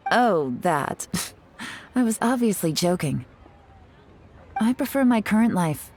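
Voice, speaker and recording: A woman's voice: mid-range voice, sing-song, slow pace, a little mellow, a little hiss or hum. A woman playing a sly, confident secret agent in a video game, poised and playfully knowing. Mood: teasing, assured.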